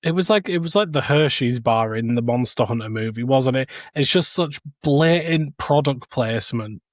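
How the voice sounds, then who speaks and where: A man co-hosting a podcast, giving casual review opinions in a measured, hesitant delivery. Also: medium pitch, expressive, no room sound, clean studio sound. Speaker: podcast co-host.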